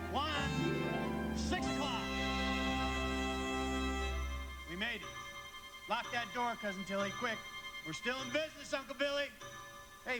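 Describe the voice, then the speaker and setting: A man playing an earnest young small-town loan manager in a radio drama, folksy, impassioned and prone to stammering. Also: extremely high pitch. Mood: relieved, urging. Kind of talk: live radio drama acting.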